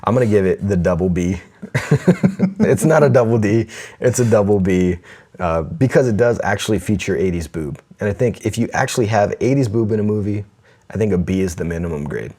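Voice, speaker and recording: Very deep-voiced male podcast co-host. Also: brisk, warm tone. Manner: enthusiastic, laughs often, reads listener letters aloud. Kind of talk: casual podcast chat.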